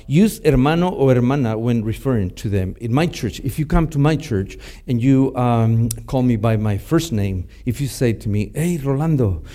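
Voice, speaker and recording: A man's voice: medium pitch, mellow. A man from Mexico with a Mexican Spanish accent, a pastor giving a teaching talk with many fillers and restarts. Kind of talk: teaching talk to a church audience.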